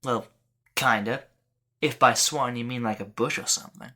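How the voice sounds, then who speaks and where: A woman's voice: very deep voice, moderate pace, pushed and bright, heavily acted. A woman playing a cheeky, boastful sixteen-year-old boy in an audio drama, casual and brash. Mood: boastful, teasing.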